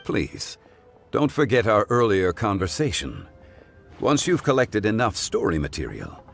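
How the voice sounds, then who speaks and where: An actor playing a calm, scholarly gentleman in a video game, speaking in a composed, formal and unhurried way. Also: lowish voice, gravelly, soft background music, full character voice. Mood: amused, gracious. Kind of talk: scripted video game dialogue.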